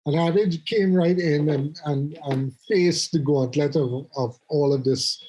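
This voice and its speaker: A man's voice: a little high, slow, warm tone, breathy edge. Trinidadian guy, rambling podcast chat. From Trinidad and Tobago. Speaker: podcast co-host. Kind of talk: casual chat. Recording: muffled lo-fi podcast audio.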